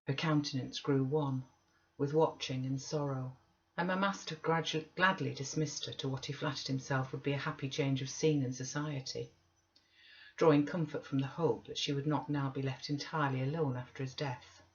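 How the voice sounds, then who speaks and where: A woman's voice: very deep voice, fairly even intonation, moderate pace, lo-fi sound. A woman narrating a literary audiobook from a script. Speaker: audiobook reader.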